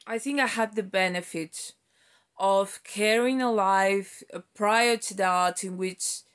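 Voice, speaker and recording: Smooth, slow-talking woman around forty. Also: mid-range voice. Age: about 40. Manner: halting, rambling, reflective. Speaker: vlogger. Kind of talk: reflective personal vlog, casual talk.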